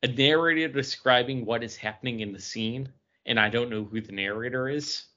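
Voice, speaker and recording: A man's voice: mid-range voice, brisk, pushed and bright, muffled recording. A man co-hosting a podcast, talking casually and giving his opinions on what he reviews. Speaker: podcast co-host reviewing comic books.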